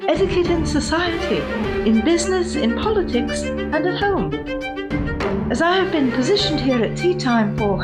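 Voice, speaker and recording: Woman doing a creepy prim porcelain doll lady, kinda high voice, super proper and vain, dramatic pauses, music playing behind, gothic horror radio play. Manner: formal, refined, dramatic diction with deliberate pauses.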